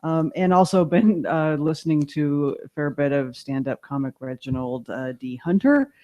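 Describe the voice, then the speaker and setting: A man's voice: a little high, normal speed, a little mellow, dull audio. A man co-hosting a podcast in a laid-back, agreeable, casual conversational style. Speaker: podcast co-host. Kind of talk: casual chat.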